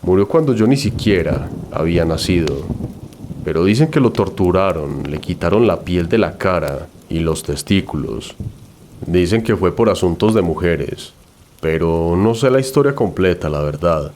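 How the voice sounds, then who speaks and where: A man with a low, deep voice tells a horror tale in the first person. He reads it as a scripted story, in the role of narrator. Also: animated, normal speed, darkish tone, a little hiss or hum.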